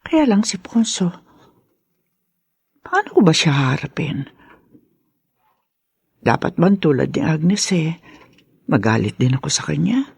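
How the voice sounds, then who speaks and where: Worried older Filipino mother, slow, creaky, forceful, brooding radio drama monologue. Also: low-pitched, very animated, dry recording, full character voice. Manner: brooding, hesitant inner monologue. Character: anxious older mother-in-law brooding over her daughter's marriage. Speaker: drama actor.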